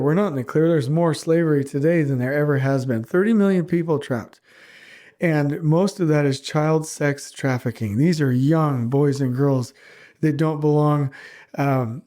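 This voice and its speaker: A man's voice: fairly high pitch, mellow. A man hosting his own podcast, talking casually with a stuffy nose from a cold, earnest and a little rambling. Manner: rambling, earnest, self-mocking. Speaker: podcast host. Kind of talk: casual solo podcast monologue.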